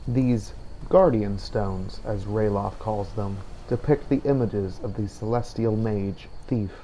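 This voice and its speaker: A man voicing a solemn, devout warrior who hunts the undead, narrating a game journal in measured, formal words. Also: lowish voice, mellow, low-quality recording. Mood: solemn, brooding. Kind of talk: in-character journal narration over a video game. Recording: noticeable background noise.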